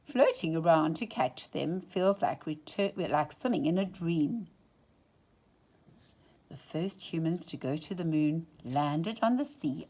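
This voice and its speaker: A woman reading a children's story aloud in an expressive way, with dramatic pauses and hushed phrases for effect. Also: lowish voice.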